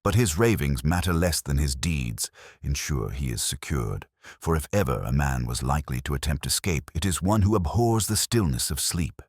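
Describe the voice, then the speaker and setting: A man voicing a coolly analytical gentleman detective in an audiobook, stern, composed and precise. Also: rumbling low, animated, normal speed, husky, no room sound, clean studio sound, exaggerated voice.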